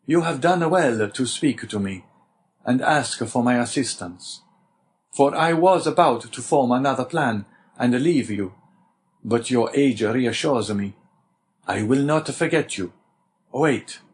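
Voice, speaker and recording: A man's voice: mid-range voice, lively intonation, slow, slight background noise, voice pushed up. A man voicing a cautious unseen prisoner. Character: unseen male fellow prisoner, cautious and measured.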